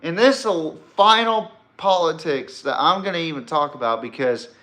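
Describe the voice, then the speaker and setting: A man's voice: a little high, pushed and bright. A man hosting a podcast, giving casual, opinionated commentary in a rambling, blunt and emphatic way. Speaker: sports podcast host.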